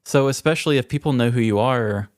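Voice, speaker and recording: A man's voice: mid-range voice, fast, no echo, studio quality. An American man co-hosting a podcast, speaking in a storytelling and conversational style. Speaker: podcast co-host. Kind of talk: scripted true crime narration and casual banter.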